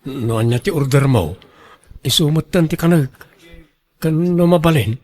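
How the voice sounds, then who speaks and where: Young male lead: humble, insecure, earnest radio drama sweetheart, fairly high voice, dry recording. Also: lively intonation, normal speed, darkish tone, no room sound. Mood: nervous. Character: humble, insecure young working man in love. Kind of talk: Ilocano radio drama acting.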